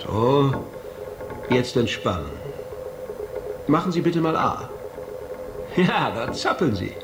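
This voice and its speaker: A man's voice: mid-range voice, sing-song, slow pace, echoey room, background noise. An actor in a radio play, playing a matter-of-fact male doctor who gives a patient clinical, directive instructions.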